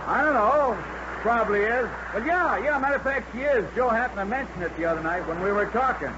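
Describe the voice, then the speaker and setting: A man's voice: very high voice, fairly quick. An actor playing an obliging American aircraft plant foreman in a radio drama, talking in a folksy, rambling way. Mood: helpful. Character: chatty, obliging male aircraft plant foreman, ordinary working guy. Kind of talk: 1950s radio drama acting. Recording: muffled old radio, noisy factory behind.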